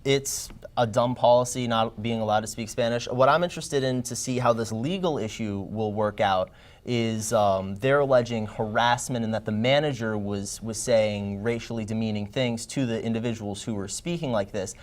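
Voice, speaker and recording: A young man on a TV panel breaking down the news in a measured, analytical way. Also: fairly low pitch, steady tone. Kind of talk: casual panel discussion.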